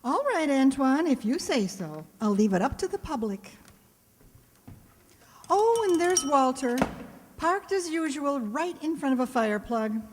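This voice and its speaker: Actress as wisecracking woman teacher, dry and arch, radio comedy, high voice, lively pitch, reverberant hall. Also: background noise. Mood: brisk, indignant. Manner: dry, arch, quick with quips. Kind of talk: old-time radio comedy acting.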